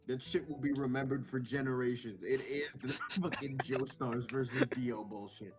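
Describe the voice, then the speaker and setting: A man's voice: mid-range voice, fairly even intonation, normal speed. A man doing a casual, jokey read-aloud of visual novel dialogue, dropping informal asides. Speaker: co-reader in a visual novel let's play. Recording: music playing behind the voice. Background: guitar music.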